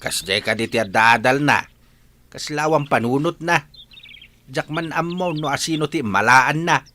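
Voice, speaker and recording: A man's voice: medium pitch, normal speed, pushed and bright, a little hiss or hum, natural voice. An actor playing a grumbling, scornful old village husband and father in a radio drama.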